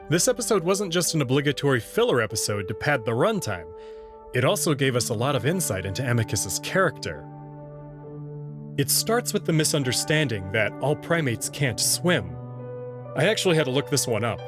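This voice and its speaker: Man, medium pitch, animated delivery, wry scripted commentary, playful asides, clear background music. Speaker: host reading a visual novel and commenting on it.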